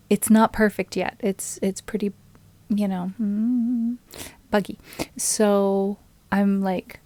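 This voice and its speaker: A woman streamer chatting casually with her live audience, relaxed and friendly, close to a USB microphone. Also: medium pitch. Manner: slow, relaxed and friendly, greets viewers by name, with small laughs. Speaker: streamer and ukulele player. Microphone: USB microphone on a boom arm with a pop filter.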